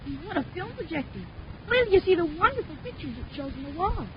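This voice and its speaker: A woman's voice: very high-pitched, sing-song, quick pace. A woman voicing a wide-eyed, gushing American kid in a 1950s radio commercial. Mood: excited. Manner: gushing, childlike. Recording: muffled, noisy 1950s radio recording.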